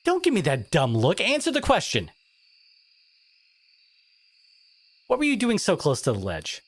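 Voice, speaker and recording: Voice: medium pitch, huge pitch swings, fairly quick, breathy edge, dry recording, heavily acted. An actor playing a brash teenage school bully who secretly cares, in an exasperated, confrontational audio drama monologue addressed to the listener. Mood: alarmed, angry. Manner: exasperated, confrontational, sighing, emphatic.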